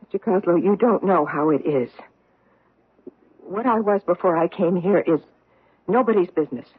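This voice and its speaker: A woman's voice: lowish voice, moderate pace, slightly rough. An actress playing a defensive, evasive housewife hiding her past, in a 1970s radio drama. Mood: guarded. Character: guarded middle-aged American housewife hiding her past. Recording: tinny old radio broadcast.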